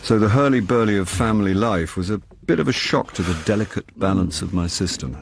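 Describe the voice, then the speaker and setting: Low-voiced wry British middle-aged man. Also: expressive, brisk, vocal fry, heavy background noise. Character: wry, hapless middle-aged male jobbing actor, self-deprecating grandfather-to-be. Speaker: narrator and lead actor in a radio drama. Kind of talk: wry first-person radio drama narration and dialogue.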